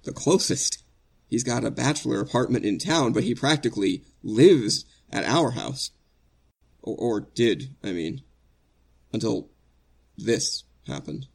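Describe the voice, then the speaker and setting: An actor playing an anguished innocent man facing execution in a 1940s-style radio drama, earnest and halting, stammering with emotion. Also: mid-range voice, lively intonation, gravelly, a little mellow, slightly rough, heavily acted. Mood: sad, tender.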